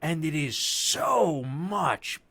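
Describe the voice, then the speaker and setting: A man who writes and voices audio drama, chatting casually in an animated, joking, sweary way on a video call. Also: a little high, very slow, no echo. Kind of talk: casual chat.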